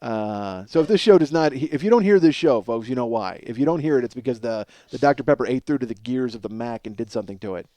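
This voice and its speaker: A man's voice: medium pitch, expressive, quick pace, few pauses, warm tone, dry recording. A man hosting a comedy podcast in a rambling, self-mocking monologue, ranting with profane exasperation.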